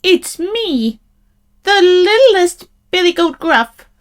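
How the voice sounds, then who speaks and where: A woman's voice: very high voice, very animated, slow pace, pitched above the performer's own voice, full character voice. A woman voicing a tiny, timid young male goat from a storybook in a small, thin, pleading voice. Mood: meek. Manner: pleading, meek.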